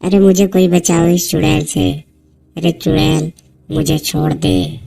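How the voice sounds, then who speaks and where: A woman's voice: lowish voice, a little slow, over music. A woman voicing a frightened young village woman in a horror cartoon, pleading in panic. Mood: terrified.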